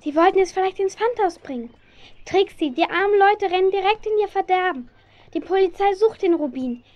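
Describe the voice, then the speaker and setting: Casting: sensible teen girl sidekick, very high voice, earnest, slightly dull lo-fi radio drama sound. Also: a little fast. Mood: worried, reasoning. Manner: earnest, reasoning. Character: sensible teenage girl, the detective's sympathetic friend. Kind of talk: German radio play acting for young listeners.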